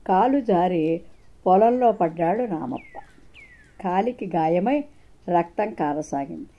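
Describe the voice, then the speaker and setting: Female storyteller for moral tales, dark mellow tone, mid pitch, scripted narration.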